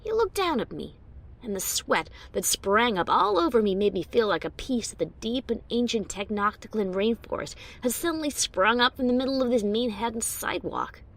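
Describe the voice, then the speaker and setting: Adventurer pony narrator, played by a woman: female, lively varied pitch, wry bravado with nerves underneath, first-person storybook drama. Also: normal speed. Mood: shaken. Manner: expressive, breezy bravado over nerves.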